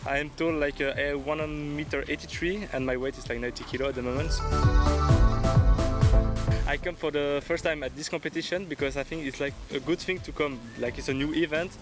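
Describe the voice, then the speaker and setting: A man in his twenties from Belgium, a race competitor answering interview questions in halting, simple phrases with many "like"s. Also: a little high, fairly even intonation, over loud music. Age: 20s.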